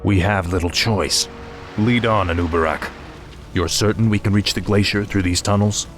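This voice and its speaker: An actor playing a grim, weary undead knight prince in a game cutscene, terse and brooding. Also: male voice, on the low side, fairly even intonation, normal speed, fairly bright tone, soft background music, natural voice. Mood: grimly resolute. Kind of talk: video game cutscene acting.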